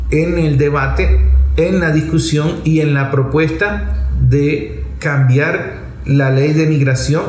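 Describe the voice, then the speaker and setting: A man's voice: a little high, darkish tone. A man with a Venezuelan accent giving an unscripted political monologue, speaking emphatically in short, repeated phrases. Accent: Venezuelan Spanish. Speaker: political commentator and activist. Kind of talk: political monologue, spoken off the cuff. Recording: echoey room with faint background noise.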